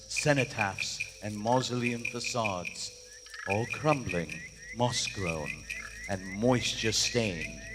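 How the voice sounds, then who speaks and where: An actor playing a nervous, loyal gentleman who narrates a horror tale in formal, florid style for a live radio play. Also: medium pitch, lightly acted. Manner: formal, florid, dramatic delivery. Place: on stage in a large theater. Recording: live theater hall reverb, music behind. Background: music.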